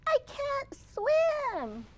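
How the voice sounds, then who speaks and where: A tiny storybook mouse played by a woman, very high and squeaky. He is a little painter who gets alarmed easily and speaks in urgent exclamations. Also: wildly swooping pitch, extremely slow, heavily acted. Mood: panicked.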